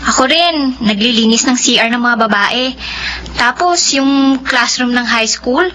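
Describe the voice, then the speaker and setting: A woman's voice: on the high side, a little slow, pushed and bright. An actress playing a friendly, hardworking young female student with a Filipino accent in a radio drama, speaking plainly. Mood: frank, matter-of-fact. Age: young adult. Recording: noisy radio drama recording.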